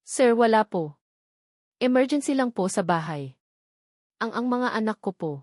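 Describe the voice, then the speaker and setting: A woman's voice: on the high side, expressive, unhurried, darkish tone, no echo, clean studio sound. A woman narrator voicing an anxious, deferential young mother who works as a secretary, speaking politely and hesitantly. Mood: flustered.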